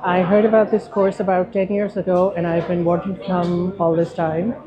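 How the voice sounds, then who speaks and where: A woman's voice: fairly low pitch, flat delivery, moderate pace. A woman doctor from Pakistan enthusiastically recommending a training course to camera. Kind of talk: testimonial to camera. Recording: dull audio, crowd chatter behind.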